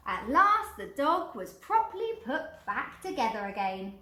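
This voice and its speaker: A woman reading a picture book aloud to children in an expressive, deliberate way, drawing out repeated words. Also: on the high side. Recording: roomy, echoing indoor sound.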